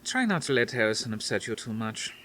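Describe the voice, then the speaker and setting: A man's voice: medium pitch, sing-song, fairly quick, fairly bright tone, slight background noise, rough recording. A man reading an audiobook, voicing a stately grandmother near seventy with a faint Dutch accent who speaks firmly and formally. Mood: amused. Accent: faint Dutch, as put on. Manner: firm, soothing, formal phrasing.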